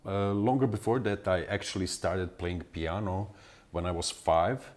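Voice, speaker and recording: A man in his forties from Serbia with a Serbian accent, answering interview questions in halting speech full of fillers and restarts. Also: low-pitched, steady tone, darkish tone. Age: 40s. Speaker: guest musician.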